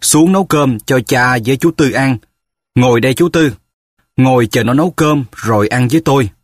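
Man playing a cold calm old dad, southern vietnamese accent, slow and husky. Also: medium pitch, no room sound, clean studio sound, close to an everyday voice. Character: older father, cold and unmoved.

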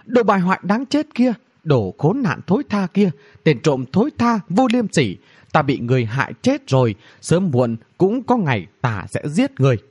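A man's voice: mid-range voice, sing-song, moderate pace, hardly any pauses, a little mellow. A man voicing a bratty young princess in a fantasy audiobook, whiny and scolding, with exclamatory outbursts. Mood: furious cursing.

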